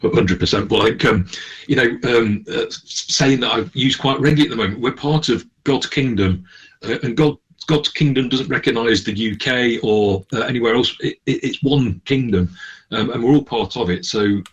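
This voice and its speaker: A man's voice: medium pitch, normal speed, slightly rough. A man answering as a radio interview guest, hesitant and often restarting as he searches for words. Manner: hesitant, searching for words with repeated false starts. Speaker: charity manager, interview guest.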